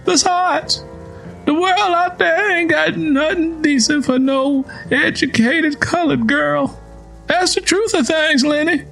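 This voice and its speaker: A man voicing a stern, weary father, a poor farmer lecturing in a folksy, drawn-out way. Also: very high voice, steady tone, creaky, fairly bright tone, soft background music, pitched above the performer's own voice, heavily acted. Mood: stern, resigned.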